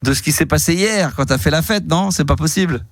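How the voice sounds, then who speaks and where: A man's voice: on the high side, a little fast, dry recording. A man hosting a live phone-in, chatting in a teasing, jokey way on a close studio microphone. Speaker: radio phone-in host. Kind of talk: live late-night phone-in chat. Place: radio studio.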